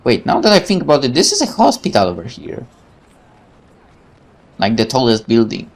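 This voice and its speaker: Guy doing a let's play, bit of vocal fry, reading story stuff out loud, rambles and trips on words. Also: mid-range voice, huge pitch swings, normal speed. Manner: rambling asides, stumbling over words. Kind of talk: reading a visual novel aloud with casual commentary.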